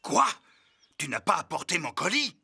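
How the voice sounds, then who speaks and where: A man voicing a fretful builder in a children's cartoon, with a sharp, gravelly voice. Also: high voice, big pitch swings, normal speed, full character voice. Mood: worried.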